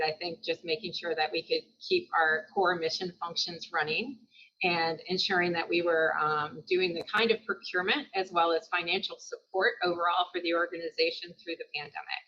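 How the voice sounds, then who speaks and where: A woman speaking on a virtual panel about her organization's procurement, measured with frequent pauses. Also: on the low side, pushed and bright. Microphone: video call. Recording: dull video-call audio.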